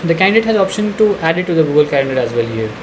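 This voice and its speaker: A man giving an unscripted software walkthrough in a halting, hesitant way with frequent filler pauses. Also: fairly high pitch, big pitch swings, noisy background. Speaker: software demo presenter. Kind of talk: unscripted screen-share walkthrough narration.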